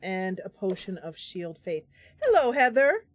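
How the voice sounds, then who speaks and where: A woman hosting a casual game livestream, chatty and animated, talking through her play and reading bits of story aloud. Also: mid-range voice, unhurried. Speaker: streamer and host playing a fantasy role-playing game. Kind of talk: casual livestream gameplay commentary with narration read aloud.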